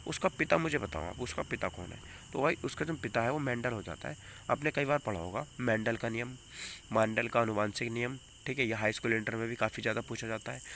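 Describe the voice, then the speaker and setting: A man's voice: mid-range voice, vocal fry, fairly bright tone. North Indian male teacher, noisy recording. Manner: repeats questions and answers, emphatic, rhetorical questioning. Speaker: exam-prep teacher. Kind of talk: question-by-question exam coaching lesson. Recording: noticeable background noise.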